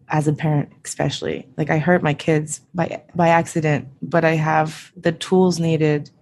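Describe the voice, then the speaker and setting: Low-pitched woman hosting a podcast, first language japanese, thinks out loud, lots of "like". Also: a little hiss or hum. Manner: reflective, thoughtful, with frequent "like" and "I think". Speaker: podcast host. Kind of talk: casual podcast discussion.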